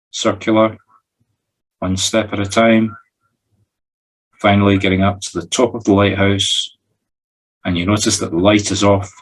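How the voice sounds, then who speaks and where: Male Scottish meditation guide, low-pitched husky voice, short spoken instructions with an improvised feel. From Scotland. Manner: instructing in short, separate phrases. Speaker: medium leading a guided meditation. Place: recorded over a video call.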